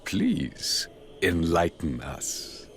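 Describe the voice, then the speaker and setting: A man's voice: sits low, wildly swooping pitch, drawn-out words, a little hiss or hum, exaggerated voice. An actor playing a grandiose, embittered middle-aged villain king in a video game, pompous and menacing. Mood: smug menace. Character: grandiose, embittered male villain king mourning his lost realm.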